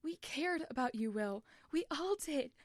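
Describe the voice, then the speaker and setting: A woman's voice: sits high, lively intonation, a little slow, no echo, studio quality, pitched above the performer's own voice. A woman voicing a hurt, furious young male ghost in a story, snapping accusations and pleading as one betrayed. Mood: angry, hurt.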